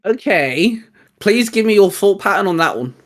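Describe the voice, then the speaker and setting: A man's voice: sits high, expressive, moderate pace. A man hosting a casual, geeky discussion, explaining his ideas in a rambling way. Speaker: host.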